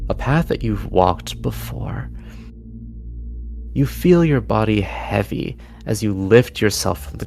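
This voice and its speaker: Deep, gravelly man narrating solemnly. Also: darkish tone. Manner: solemn, theatrical, deliberate phrasing with dramatic pauses. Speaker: game master. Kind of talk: dramatic scripted second-person narration. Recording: music bed behind the voice. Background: music.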